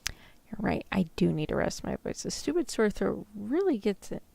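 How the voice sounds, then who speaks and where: A woman playing an affectionate, bashful sick girlfriend in an ASMR roleplay, soft-spoken and hesitant. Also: medium pitch, sing-song, normal speed, vocal fry, slight background noise. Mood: playful, loving.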